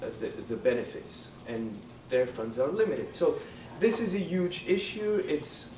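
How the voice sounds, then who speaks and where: A man's voice: fairly high pitch, very animated, unhurried, a little mellow. A man whose first language is French, a student election candidate giving earnest, hesitant answers at a debate. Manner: earnest, hesitant with many fillers. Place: lounge hall during a debate. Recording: roomy, distant mic, background noise.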